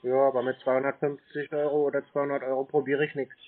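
A man answering a sales call in a casual, terse, deadpan way, sceptical and stonewalling. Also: mid-range voice, fast talker. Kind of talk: casual phone conversation. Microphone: telephone call. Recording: muffled phone line.

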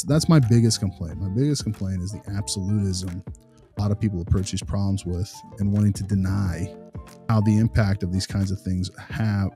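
A man's voice: sits low, dark tone. A man talking conversationally as a podcast host, explaining at length in an earnest, emphatic way. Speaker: podcast host discussing behavior analysis. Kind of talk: conversational discussion.

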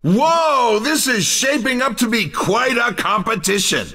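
A man playing an exuberant, cheeky racing game commentator with showy, shouted, drawn-out announcing. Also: extremely high pitch, animated, distant mic, exaggerated voice. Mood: excited. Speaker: race commentator. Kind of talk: excited video game race commentary.

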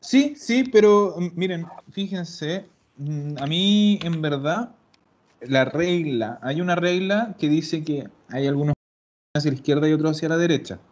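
A man teaching Italian in an online class, patient and explaining slowly, repeating words. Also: a little high, lively intonation, darkish tone. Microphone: video call. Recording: dull lo-fi call audio.

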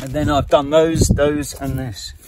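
A man's voice: a little high, level tone, measured pace. Man narrating rooftop work outdoors. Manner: matter-of-fact, talks himself through each step. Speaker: vlogger renovating a house. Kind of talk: casual narration while working. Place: outdoors on a high tiled rooftop. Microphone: handheld camera, often several steps away. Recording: outdoor recording from a distance, loud wind noise.

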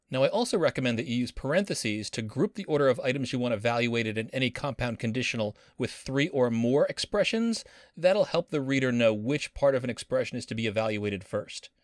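A man's voice: medium pitch, no echo, studio quality. A man teaching a coding lesson as a professor, playful and enthusiastic, with pop-culture jokes. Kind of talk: screen-recorded coding lesson.

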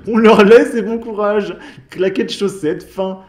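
Sarcastic male commentator, slightly high. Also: measured pace, a little mellow. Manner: sarcastic, mocking asides. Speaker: commentary channel host. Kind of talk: voice-over commentary on clips.

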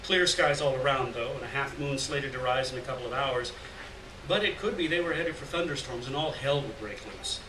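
A man's voice: medium pitch, brisk. A middle-aged man giving a live literary reading of scripted story narration in a gallery room. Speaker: story reader. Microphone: stand mic at lectern, picked up by far camera. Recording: roomy, distant mic, noticeable noise.

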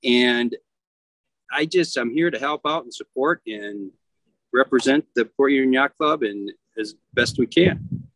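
A middle-aged man, a yacht club commodore talking casually on a video call, often pausing and restarting as he searches for words. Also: mid-range voice, a bit bright, no room sound, rough recording. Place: outdoors at a marina.

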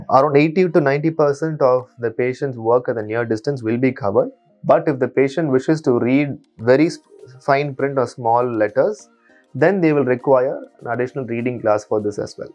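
A man in his thirties, an eye surgeon, calmly and methodically explaining to patients from a small clinic office on a lapel mic. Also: medium pitch. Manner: calm, methodical, steady explainer. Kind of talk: explanatory patient education talk.

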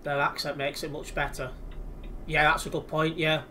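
A man's voice: on the high side, little pitch movement, moderate pace, background noise. A young man with an English accent, chatting casually and easily while he livestreams a game from home on a headset. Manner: chatty, easygoing, many restarts. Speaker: livestreaming gamer. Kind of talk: casual chat while playing. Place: indoors at home, in front of a computer.

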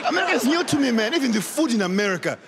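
A young man from Zimbabwe with a Zimbabwean accent, whose first language is not English, doing an animated stand-up set on a big talent show stage with a handheld mic, shouting punchlines and laughing between lines. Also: extremely high pitch, rapid-fire, a little hiss or hum. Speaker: stand-up comedian. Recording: loud music and crowd behind. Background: cheering crowd and show music.

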